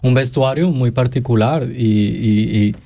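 A man interviewing guests in a casual style, halting and repeating words as he searches for the next one. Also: mid-range voice, gravelly, dark tone. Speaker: radio interviewer.

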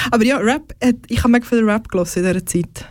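A host leading a casual radio chat, relaxed and teasing. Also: medium pitch, fairly quick, a little hiss or hum. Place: studio.

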